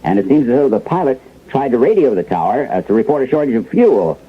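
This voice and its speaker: An actor playing a flustered newsroom anchor in a radio drama, halting and groping for words on a tinny old broadcast. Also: male voice, medium pitch, very animated, a little hiss or hum, natural voice. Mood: flustered, grave. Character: flustered male newsroom anchor handling an emergency. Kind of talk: mock live newscast, 1970s radio drama.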